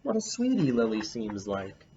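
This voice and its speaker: Lively male teacher reading aloud. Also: fairly low pitch, normal speed, dull audio, a little hiss or hum. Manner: lively storyteller, comments on the pictures. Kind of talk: picture book read-aloud.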